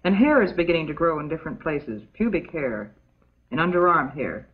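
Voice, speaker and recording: An actress playing a calm, motherly substitute teacher with an American accent, giving a measured, patient lesson in an old educational film. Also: deep voice. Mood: patient, instructive. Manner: measured, patient, instructional, prim. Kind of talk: scripted classroom lecture, old educational film. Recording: dull, muffled old educational film soundtrack.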